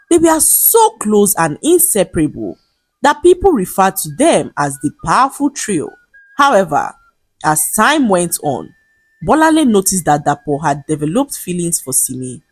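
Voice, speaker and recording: A woman narrating a scripted folktale in a measured, expressive storytelling manner. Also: lowish voice, breathy edge, no echo. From Nigeria. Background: background music.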